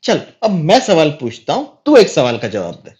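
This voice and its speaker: Exasperated high-voiced uncle played by a man. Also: wildly swooping pitch, normal speed, a little mellow. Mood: mocking, challenging. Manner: scolding, incredulous. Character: exasperated, indignant middle-aged uncle taking a prank call. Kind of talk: comedy sketch dialogue.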